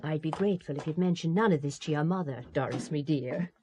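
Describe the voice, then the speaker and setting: A woman's voice: low voice, fast talker, noisy background, natural voice. A woman playing a bossy, blunt middle-aged Irish aunt in a radio spy drama. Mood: bossy, affectionate.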